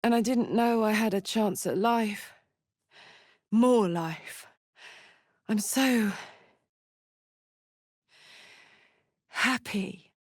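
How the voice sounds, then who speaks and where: A woman playing a brash, warm-hearted tough warrior in a video game, blunt and sweary with a casual swagger and earnest feeling. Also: fairly high pitch, slow, dry recording, clean studio sound. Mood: earnest, heartfelt. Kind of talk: video game character voice lines.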